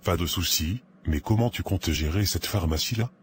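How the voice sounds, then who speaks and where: A man's voice: low voice, normal speed, lightly acted. A man playing a supportive, practical business friend in a cartoon drama, agreeable, with faint noise. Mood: interested. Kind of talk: animated moral drama dialogue.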